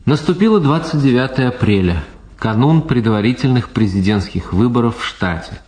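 A roomy 1970s radio recording of a male narrator. His pitch is average, and he delivers scripted drama narration like a documentary report. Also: animated, moderate pace, a little mellow.

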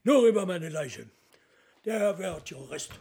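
A high, blustering stern father. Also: animated, slow, creaky, a little hiss or hum, exaggerated voice. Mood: indignant. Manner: loud, indignant. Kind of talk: satirical radio play acting.

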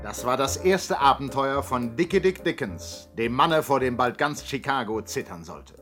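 A man acts the storyteller of an old radio crime serial. His mid-pitched voice is suspenseful and theatrical, with grand, mock-sensational delivery. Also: animated, moderate pace, over music, lightly acted. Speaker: narrator. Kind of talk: scripted narration, 1960s German radio play.

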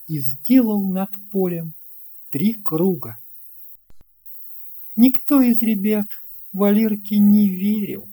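A narrator reading a children's tale in a measured, expressive storytelling manner. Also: mid-range voice. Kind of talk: scripted audiobook narration of a children's fairy tale.

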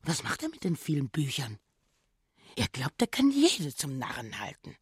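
An actress voicing a housekeeper's suspicious, greedy inner thoughts in a radio drama, muttering and often dropping into a whisper. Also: female voice, low voice, huge pitch swings, normal speed, slightly rough, dry recording, studio quality, full character voice. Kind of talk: radio drama interior monologue.

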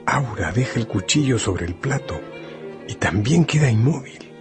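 A man narrating a radio novel in a solemn, deliberate, dramatic second-person style. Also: mid-range voice, animated. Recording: music bed under the voice. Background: music.

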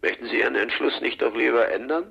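An actor playing a self-assured, menacing blackmailer, calm and insistent, in a radio drama over the telephone. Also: male voice, quick pace, exaggerated voice. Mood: coolly threatening. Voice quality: gravelly, husky low voice. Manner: calm, insistent, coolly threatening. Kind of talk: 1980s German radio drama acting. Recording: muffled telephone line.

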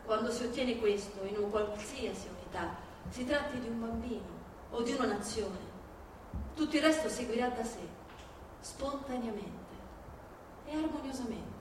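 A woman narrating a theatrical dramatic reading in a deliberate, declamatory manner. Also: on the high side, noisy background. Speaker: stage narrator. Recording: echoey hall, distant, music behind.

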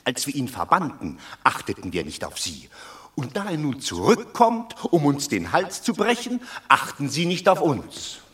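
An actor playing a sardonic, pessimistic city elder in a radio drama, speaking with dry reproach. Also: male voice, a little high, wildly swooping pitch, moderate pace, fairly bright tone. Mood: grim, reproachful. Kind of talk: classical radio drama acting.

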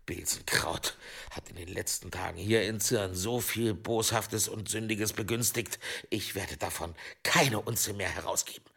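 An actor playing an anxious, pious medieval monk apothecary in an audio drama, hesitant and moralizing, with a gravelly, husky voice. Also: male voice, on the low side, unhurried, sharp tone, heavily acted. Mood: stern, disappointed. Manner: hesitant, moralizing, breathy exclamations.

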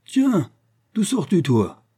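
A man's voice: huge pitch swings, slow, warm tone, breathy edge, full character voice. A man voicing a drunken, rowdy rich man who is insolent, mocking and overbearing in a storybook reading. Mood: mocking, domineering. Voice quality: big muffled bass in the story, boisterous. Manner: insolent, bullying, familiar.

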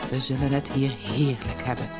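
A woman voicing a meek, hesitant old fisherman in a fairy-tale audiobook, breathy and half whispering. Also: very deep voice, moderate pace, over music, voice pushed down. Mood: hopeful. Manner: hesitant, hushed, resigned. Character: meek, timid old bald fisherman, henpecked husband.

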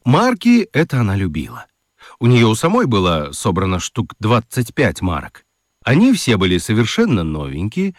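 Animated male children's story narrator. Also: medium pitch, dry recording, clean studio sound.

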